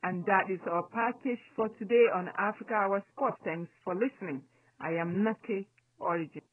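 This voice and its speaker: Male sports newsreader, high pitch, scripted delivery, tinny narrowband radio sound. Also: moderate pace, no room sound. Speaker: sports presenter. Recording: tinny phone-like digital shortwave radio.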